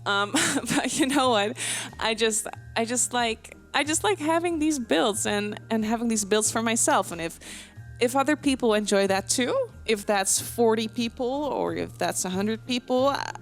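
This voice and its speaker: A woman's voice: on the high side, a little slow. A young woman from the Netherlands with a light Dutch accent, Dutch her first language, doing a casual, rambling voiceover with frequent giggles, fillers and restarts. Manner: chatty, giggly, self-deprecating, with many fillers and restarts. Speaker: Sims speed build vlogger. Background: soft background music.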